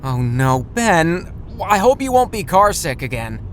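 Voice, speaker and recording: Man as an animated, anxious dad. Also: fairly high pitch, unhurried, a little hiss or hum. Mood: scheming. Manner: expressive, gently chiding. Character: anxious, caring father of two children. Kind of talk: children's audio drama acting.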